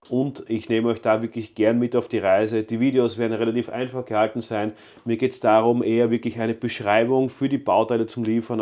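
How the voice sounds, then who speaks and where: A man's voice: medium pitch, darkish tone. A man with an Austrian accent talking casually to his viewers as a motorcycle vlogger, enthusiastic and rambling with fillers. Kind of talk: casual vlog talk to viewers.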